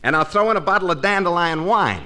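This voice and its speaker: A man's voice: high voice, fast talker, lightly acted. An actor playing a brash small-time con man with an American accent, all glib sales patter in 1940s radio comedy style. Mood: slick, pleased. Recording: old radio recording with hiss and clicks.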